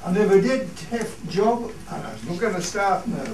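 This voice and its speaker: A man's voice: high-pitched, moderate pace, darkish tone, a little hiss or hum. A man playing a reluctant, grumbling Lakeland shepherd in a dialect radio play, with a Cumbrian accent. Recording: echoey room, far mic, crowd behind.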